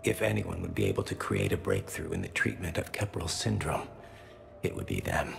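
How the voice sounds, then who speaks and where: An actor playing a grieving young alien son in a video game, solemn and courteous, with a gravelly voice and formal, halting phrasing. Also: male voice, sing-song, noisy background, heavily acted. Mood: courteous, subdued. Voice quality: gravelly, very low voice. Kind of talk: video game cutscene acting.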